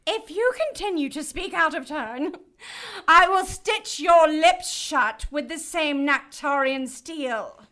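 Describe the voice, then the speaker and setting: Casting: imperious cruel cherub, audio drama. High, sharp, unhurried delivery, formal and gloating. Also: female voice, exaggerated voice. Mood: menacing, condescending. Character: haughty, cruel female cherub passing judgment for heaven's council.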